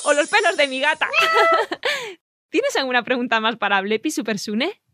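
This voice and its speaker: A woman's voice: sits high, sing-song, unhurried, loud music behind the voice. A woman hosting a scripted children's podcast in a playful, encouraging way. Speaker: children's show host.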